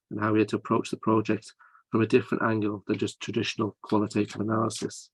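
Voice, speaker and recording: A man with a fairly low voice presents research at a conference. He walks through his methods in an explanatory way and often pauses with hesitations. The sound is dry and close, with no room echo. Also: darkish tone, breathy edge.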